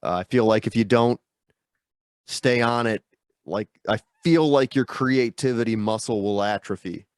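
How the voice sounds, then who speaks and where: A man's voice: medium pitch, unhurried, smooth, no room sound, clean studio sound. A man guesting on a video-call interview, stuffy from a sinus infection, rambling and self-deprecating with lots of fillers. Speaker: guest musician. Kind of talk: casual interview chat.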